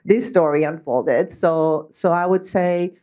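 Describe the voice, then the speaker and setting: A woman's voice: lowish voice, takes it slowly, warm tone. A woman giving a talk and taking questions at a club meeting, conversational, with many fillers and restarts. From Spain. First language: Spanish. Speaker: guest speaker. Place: meeting room.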